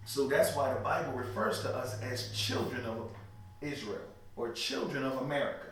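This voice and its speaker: A man's voice: mid-range voice, normal speed, a bit bright. A man giving interview answers in a preachy, emphatic way, often checking "you know what I mean". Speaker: interview guest. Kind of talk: emphatic religious talk. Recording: echoey room, mic far off, light noise.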